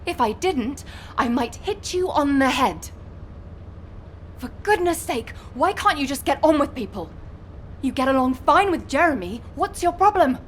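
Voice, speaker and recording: A woman voicing a cheerful, talkative young female soldier in a video game, teasing and expressive. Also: high-pitched, measured pace, a little hiss or hum. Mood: exasperated. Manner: chatty, playfully exasperated.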